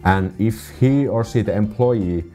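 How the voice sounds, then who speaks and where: A man's voice: on the low side, unhurried, warm tone, faint music behind. A man in his thirties with a Finnish accent, reading viewer comments aloud and reacting to them in a video, often restarting his sentences. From Finland. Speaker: vlogger. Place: small room indoors. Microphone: desk condenser mic.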